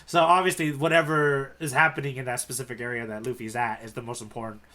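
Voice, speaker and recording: A man's voice: medium pitch, little pitch movement, quick pace, sharp tone. A man co-hosting a casual podcast as the guide, explaining things and steering the discussion in a conversational way.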